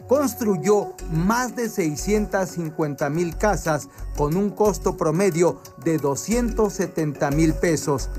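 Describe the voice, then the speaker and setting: A male news reporter reading a scripted report in a measured, emphatic way. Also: high-pitched, darkish tone.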